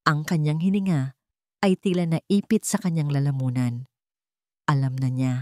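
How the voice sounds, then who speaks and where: A woman audiobook narrator reading a dramatic story with suspenseful, deliberate phrasing. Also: low-pitched, steady tone, darkish tone, dry recording, studio quality.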